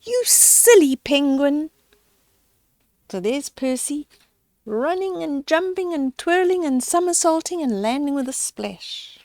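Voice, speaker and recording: Slow, lively, high-voiced woman reading a storybook. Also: no echo. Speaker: storyteller reading children's picture books aloud. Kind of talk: read-aloud children's story with chatty asides to young listeners.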